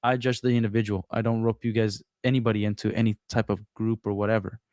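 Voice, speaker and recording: A man's voice: on the low side, flat delivery, fast talker, darkish tone, no room sound. Brief: man from Brazil, Portuguese first language, chatty and open guest, dry close sound. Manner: open, chatty, laughs easily, trails off and restarts. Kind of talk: casual chat.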